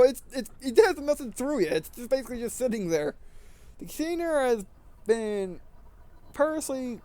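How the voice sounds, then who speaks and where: A man with a high, clean and smooth voice reads a visual novel aloud for a gaming video. He slips between narration and casual commentary, often tripping over words and going back over phrases. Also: warm tone.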